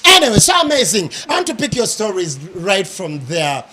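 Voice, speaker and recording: A male TV host, chatting in a lively, exuberant way with guests, often breaking into loud laughter. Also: high voice, moderate pace, slight background noise. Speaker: TV show host. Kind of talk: casual interview chat.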